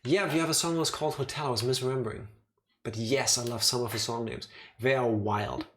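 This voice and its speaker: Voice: mid-range voice, fairly quick, a bit bright, a little hiss or hum. A streamer chatting casually while playing a game. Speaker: let's play streamer.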